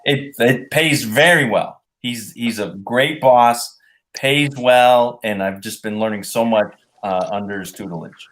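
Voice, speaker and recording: A man on a live stream, joking in a mock-earnest, halting way. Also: mid-range voice, a bit bright.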